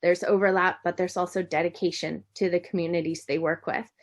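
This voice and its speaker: A woman's voice: on the low side, flat delivery, a little fast. Friendly American woman lecturer, middle-aged, presenting online with many ums and restarts. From United States. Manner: friendly, with frequent ums and self-corrections. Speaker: university lecturer.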